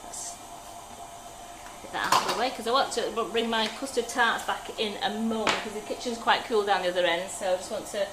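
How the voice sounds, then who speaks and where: A woman's voice: medium pitch, lively intonation, fairly quick. A woman with a Manchester accent demonstrating a recipe live in a kitchen, talking through each step as she works. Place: home-style kitchen. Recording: echoey kitchen with background hum.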